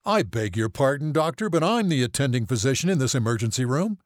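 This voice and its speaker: Man acting a stuffy, formal male doctor, raised pitch. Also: very animated, fast, dry recording, clean studio sound, natural voice. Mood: affronted, challenging. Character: formal, stiff male emergency physician, affronted. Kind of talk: audiobook character acting.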